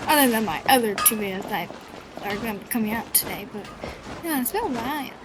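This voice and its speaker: A woman's voice: a little high, animated, quick pace, noisy background. A woman giving casual gameplay commentary as a game streamer.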